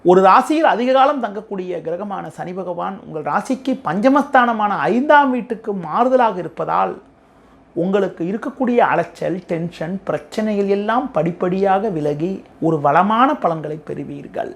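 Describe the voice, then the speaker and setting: Tamil nadu guy doing astrology forecast, creaky voice, formal and measured, explains stuff. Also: sits high, expressive. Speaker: astrologer, presenter. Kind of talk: astrology forecast addressed to viewers.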